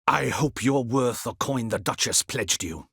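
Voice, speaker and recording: An actor playing a stern, proud, dutiful captain of a ducal guard in a fantasy video game, with a formal, clipped, haughty delivery and a husky voice. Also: male voice, mid-range voice, lively intonation, a little slow, bright, forceful tone, dry recording, clean studio sound, heavily acted. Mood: cold, accusing.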